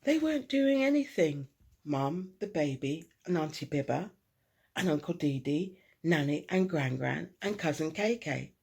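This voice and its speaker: A woman reading a children's picture book aloud expressively, dramatic, with hushed asides and emphasis on repeated words. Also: low voice, animated, measured pace, vocal fry, husky. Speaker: storyteller.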